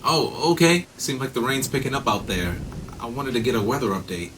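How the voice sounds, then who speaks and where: A man's voice: medium pitch, sing-song, brisk, background noise, lightly acted. A man playing a polite, hesitant late-night diner customer in an audio drama. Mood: curious.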